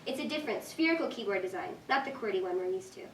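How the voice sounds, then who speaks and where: A woman's voice: mid-range voice, animated, racing. A woman reading stage directions as scripted narration at a staged reading. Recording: echoey room, distant mic, faint noise.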